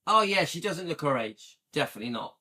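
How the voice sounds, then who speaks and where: A man's voice: a little high, very animated, quick pace, pushed and bright. A man in his late fifties from the UK, chatting casually and enthusiastically with viewers on a livestream and often checking in with "yeah?". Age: 50s. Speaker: livestream host.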